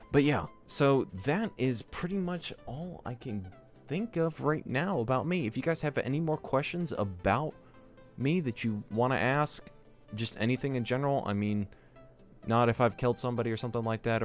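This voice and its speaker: A young man from Georgia hosts a gaming channel vlog and talks quickly and excitedly, with a stutter and many fillers. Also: on the low side. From Georgia, USA. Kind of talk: casual vlog talk to camera.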